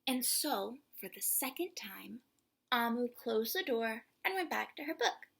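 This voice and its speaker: A woman reads a picture book aloud as a children's storyteller. Her voice is bright and fairly high, and she takes her time. She stretches out words and slips in hushed asides to the listener. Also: big pitch swings, measured pace, gravelly, breathy edge.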